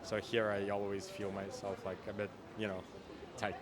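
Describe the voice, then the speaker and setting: A man from Russia talks in a low voice, hesitating and repeating himself as he gathers his thoughts. He is outdoors on a city street. A loud crowd bustles around him. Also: flat delivery, moderate pace. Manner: hesitant, repeats words, laughs easily. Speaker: tourist, doctor. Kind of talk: casual street interview.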